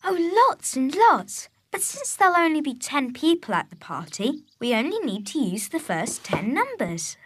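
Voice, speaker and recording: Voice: sits high, big pitch swings, moderate pace, hardly any pauses, a bit bright. A performer playing a kind, patient, cheerful young boy in a children's cartoon, teaching his friends in an encouraging way. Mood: friendly, encouraging. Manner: patient, encouraging, clearly enunciated. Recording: music under the voice.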